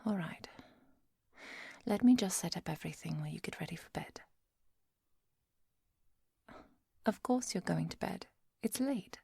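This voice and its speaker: A woman playing a kind, protective vampire hunter in a soft, often whispered ASMR roleplay, speaking in a gentle, measured and formal way. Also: lowish voice, animated, vocal fry, slightly rough, no room sound, exaggerated voice. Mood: protective, calm. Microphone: close microphone, dry.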